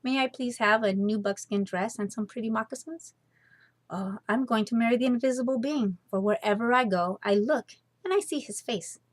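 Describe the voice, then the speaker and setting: A woman's voice: a little high, moderate pace, dry recording, lightly acted. Woman reading earnest, humble young girl. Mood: earnest, hopeful. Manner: earnest, polite, gentle. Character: humble, determined young girl asking her father politely.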